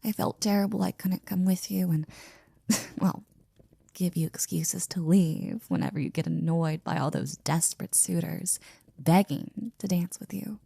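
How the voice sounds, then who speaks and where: Woman playing a breathy, slow-talking flirty maid. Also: on the low side, steady tone, mellow, dry recording, exaggerated voice. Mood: concerned, apologetic. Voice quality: breathy, often near-whisper. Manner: teasing, affectionate, slow and drawn out. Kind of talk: intimate ASMR roleplay.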